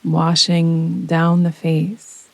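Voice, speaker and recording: A woman gently guiding a yoga nidra relaxation meditation in a soothing, unhurried manner with long pauses. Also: deep voice, completely flat delivery, gravelly, dry recording, poor audio. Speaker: meditation guide. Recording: close dry voice over rain sounds. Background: soft rain sounds.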